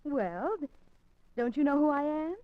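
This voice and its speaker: A woman's voice: high voice, animated, a little slow, no echo. An actress playing an anxious, determined woman undercover in a 1950s radio suspense drama, with coy, hesitant delivery. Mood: coy, flustered. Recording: dull 1950s radio recording.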